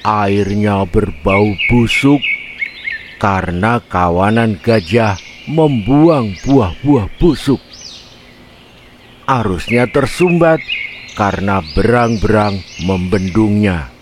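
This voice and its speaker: A man voicing a bold, indignant fish who loudly admonishes others in a children's fable. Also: lowish voice, expressive, slow pace, pauses often, noisy background. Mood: commanding, scolding. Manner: loud, shouting, admonishing.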